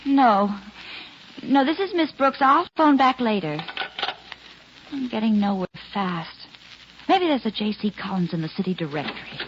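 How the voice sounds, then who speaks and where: An actress playing a brisk, determined secretary turned amateur sleuth in a 1940s radio drama. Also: female voice, a little high, lively intonation. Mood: worried. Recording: muffled, faintly hissy old radio recording.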